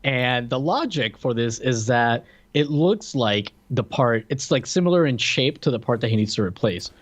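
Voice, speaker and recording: A man co-hosting a podcast, casually discussing a film with wry, nitpicky comments and halting restarts. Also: mid-range voice, expressive. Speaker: podcast co-host reviewing films. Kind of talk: casual comedic movie discussion.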